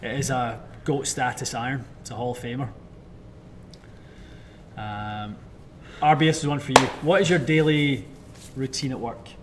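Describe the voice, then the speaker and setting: A man hosting a live question-and-answer chat as a club fitter, with a soothing voice and a relaxed, thoughtful delivery full of fillers. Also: medium pitch, normal speed, long pauses, background noise. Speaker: club fitter and show host.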